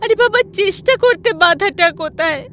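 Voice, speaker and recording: A woman's voice: very high-pitched, normal speed, sharp tone, a little hiss or hum. A woman voicing an anxious young mother, crying and pleading for her child in a horror cartoon. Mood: tearful pleading. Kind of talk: dramatic horror cartoon voice acting.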